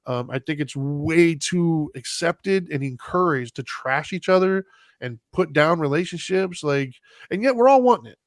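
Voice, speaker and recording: A man's voice: on the high side, expressive, moderate pace, no echo. A man with an American accent hosting a podcast in casual, opinionated chat, informal and plain-spoken. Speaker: podcast host.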